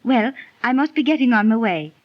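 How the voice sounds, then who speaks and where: An actress playing a gentle, pious and sensible young village girl in a 1930s radio drama. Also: on the high side, expressive, brisk, dry recording, natural voice. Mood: dismissive, confident. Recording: dull, dry 1930s radio recording.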